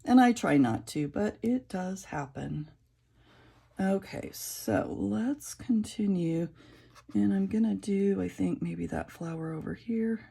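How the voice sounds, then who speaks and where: A middle-aged woman with a raspy voice, chatting casually and rambling with many fillers while she colors. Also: medium pitch, slow pace, mellow. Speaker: coloring channel creator.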